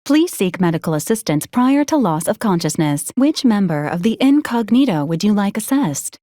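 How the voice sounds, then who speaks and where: Woman doing a polite robot helper from a video game, deadpan n clipped, medium pitch, super dry sound. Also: dry recording, close to an everyday voice. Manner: formal, clipped, programmed announcements in complete phrases. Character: polite female robot support unit, deadpan and officious.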